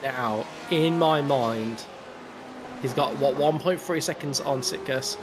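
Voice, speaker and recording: An Australian man with a slight cold calls a sim race in measured, explanatory play-by-play. Loud engine roar from the game sits right behind his voice. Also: medium pitch, noisy background. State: has a cold. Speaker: race commentator. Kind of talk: live sim racing commentary.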